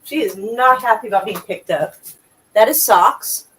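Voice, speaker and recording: A woman's voice: mid-range voice, a little slow, fairly bright tone, low-quality recording. A woman hosting a casual livestream, laughing and chatting with guests and viewers. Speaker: stream host.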